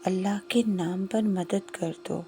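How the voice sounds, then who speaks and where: A woman's voice: mid-range voice, steady tone, dark tone, a little hiss or hum, lightly acted. A woman voicing an earnest, formal young nobleman's son in a moral story. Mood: earnest, grateful. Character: grateful young nobleman's son testing people's charity.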